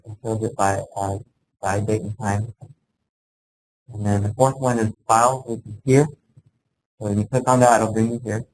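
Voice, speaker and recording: A man presenting an informal software training to a group, explaining things plainly, step by step. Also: fairly low pitch, level tone, normal speed, pauses often, a little hiss or hum, poor audio.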